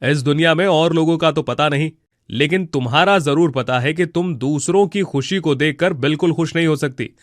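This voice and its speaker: A man's voice: a little high, moderate pace, no room sound, clean studio sound, natural voice. An actor playing a stern, indignant elderly husband and father in a cartoon moral story, scolding and reproachful.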